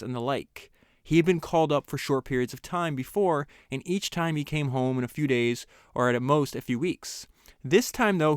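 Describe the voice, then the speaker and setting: Podcast guy reading from a book, smooth clear voice, steady and earnest, dry sound. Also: on the high side, no echo. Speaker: podcast host. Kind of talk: reading a book excerpt aloud and commenting on it.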